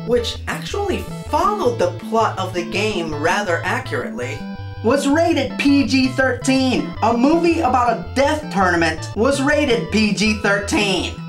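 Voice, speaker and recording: A man's voice: very high-pitched, sing-song, measured pace, music in the background. A man hosting a comedic scripted rant as a video game movie reviewer, exasperated, emphatic and sarcastic.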